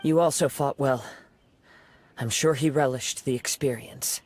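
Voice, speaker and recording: A man voicing a calm, polite young wandering swordsman in a video game, speaking gently and poetically. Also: medium pitch, measured pace, slight background noise, close to an everyday voice.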